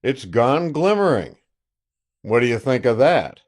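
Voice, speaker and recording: A man's voice: medium pitch, sing-song, measured pace, voice pushed up. A man voicing a blustering, boastful sheriff. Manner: loud, triumphant, blustering. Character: boastful self-satisfied male county sheriff.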